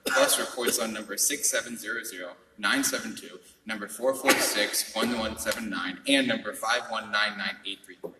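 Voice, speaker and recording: A man's voice: lowish voice, normal speed, hardly any pauses, recorded from a distance, lightly acted. Man playing an unflappable clinic assistant, noisy echoey hall. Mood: deadpan. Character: brisk, unflappable clinic assistant reciting patient numbers. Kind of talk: comedy radio play acting.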